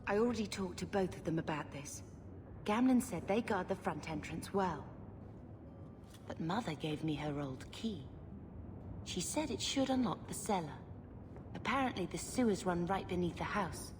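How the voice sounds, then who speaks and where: A woman's voice: fairly low pitch, animated, normal speed, in a large hall, background noise, natural voice. A woman playing a caring young sister in a fantasy video game, earnest and concerned.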